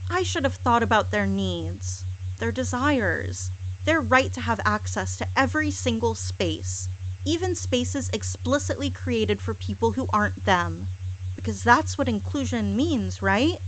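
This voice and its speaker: A woman with a somewhat high voice performs a scripted satirical monologue. Her delivery is deliberate and sarcastic, dressed up as contrition. She repeats phrases for emphasis and leaves dramatic pauses between them. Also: low-quality recording. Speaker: hypnosis audio creator.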